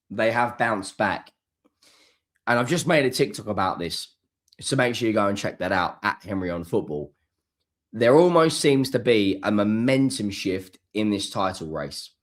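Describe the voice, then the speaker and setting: Hoarse man ranting about football. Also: lowish voice. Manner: emphatic, repeats key words. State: hoarse, coming down with flu. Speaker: football channel host. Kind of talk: solo post-match reaction.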